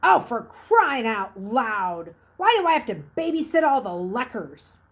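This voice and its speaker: Woman as a loud, bossy schoolboy hall monitor. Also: a little high, very animated, unhurried, voice pushed up. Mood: exasperated, bossy. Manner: barking orders, impatient.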